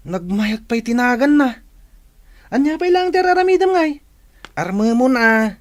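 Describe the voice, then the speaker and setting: A man's voice: extremely high pitch, very animated, a little slow, dry recording, full character voice. A man playing a teasing, pushy young cousin with an Ilocano Filipino accent in a comedy radio drama, speaking insistently. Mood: egging on. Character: teasing, pushy male cousin egging on his friend.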